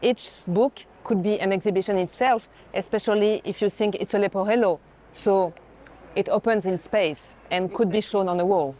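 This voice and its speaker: A woman curator explaining art in conversation, in a measured, informative way. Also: medium pitch, mellow, slight background noise. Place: art fair.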